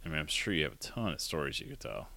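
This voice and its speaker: A man in his thirties with a deep voice, hosting a casual podcast chat in a rambling, chatty way. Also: very animated, quick pace, vocal fry, sharp tone. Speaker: podcast host.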